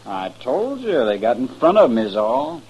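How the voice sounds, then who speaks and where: An actor playing a shifty, defiant drifter with a western American accent in an old-time radio drama. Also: male voice, medium pitch, huge pitch swings, moderate pace, gravelly, darkish tone. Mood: defiant, needled. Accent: American West. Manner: evasive, defiant. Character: shifty, surly male drifter posing as cowboy. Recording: muffled, noisy old radio recording.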